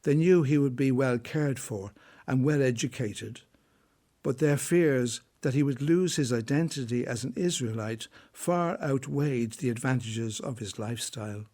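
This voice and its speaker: A man narrating a scripted story in a measured, solemn manner. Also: mid-range voice, dark tone.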